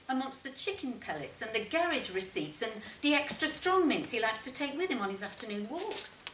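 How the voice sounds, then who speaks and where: A woman reading literary fiction aloud as an expressive narrator, with deliberate phrasing and dramatic pauses. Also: on the high side. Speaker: short story reader. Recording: roomy, distant mic, faint noise.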